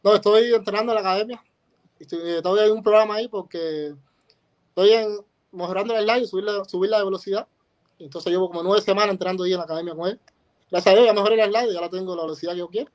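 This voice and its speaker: A man's voice: high voice, fairly even intonation, very fast, dull audio. A young man with a Cuban accent, a baseball player answering interview questions plainly over a video call. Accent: Cuban Spanish. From Cuba. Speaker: guest baseball pitcher.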